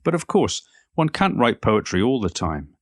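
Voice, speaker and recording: Audio drama monologue: actor as older Cornish male poet, modest and wry, lowish voice, literary measured delivery, dry sound. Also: very animated, no room sound. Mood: reflective, earnest. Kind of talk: audio play monologue, reminiscing.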